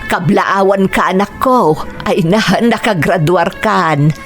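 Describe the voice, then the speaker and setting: A woman's voice: mid-range voice, slow pace, over loud music. Kindly Ilocano mother. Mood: kindly, proud. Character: humble, kindly middle-aged market-vendor mother. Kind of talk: Ilocano radio drama acting.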